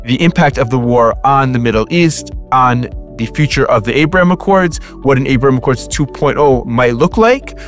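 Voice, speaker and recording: A man hosts a podcast in a measured, announcer-like way, introducing his guest in a steady mid-range voice. Soft music plays faintly behind him. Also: medium pitch. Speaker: podcast host. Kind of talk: scripted intro and interviewing.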